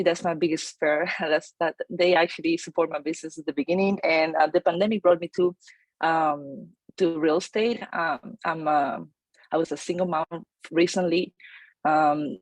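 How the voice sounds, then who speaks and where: A woman, telling her story as an interview guest, energetic with many fillers and restarts. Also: on the low side, no room sound, poor audio. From Chile. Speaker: real estate agent, guest.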